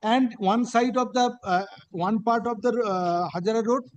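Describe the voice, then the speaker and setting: High-voiced Bengali Indian judge, a man, halting. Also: dull audio. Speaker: judge presiding over a hearing. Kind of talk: courtroom questioning and directions.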